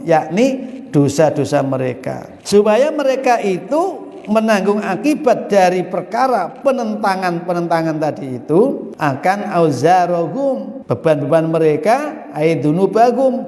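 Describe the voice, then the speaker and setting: A male preacher with a Javanese accent gives a lively sermon in a high-pitched voice. He explains verses phrase by phrase and slips into other people's voices to act out questions. The sound rings with a long reverb, as in a large hall. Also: a little slow. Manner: lively storytelling, mixing in Arabic and Javanese phrases, mimics questioners. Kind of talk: Islamic study sermon. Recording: echoey hall recording.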